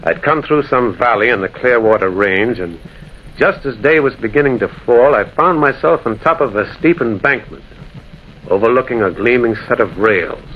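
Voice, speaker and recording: A man's voice: medium pitch, animated, normal speed, natural voice. Male actor as brooding, solitary prospector narrating a spooky radio play; theatrical delivery, dull vintage sound with faint noise. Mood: brooding, haunted. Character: lonely, haunted male prospector narrating a ghost tale. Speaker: narrator and lead character. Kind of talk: old-time American radio drama acting. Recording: dull, noisy old radio recording.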